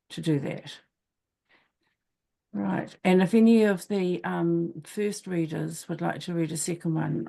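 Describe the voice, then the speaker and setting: A woman's voice: on the low side, vocal fry, mellow. A woman informally hosting an online poetry event.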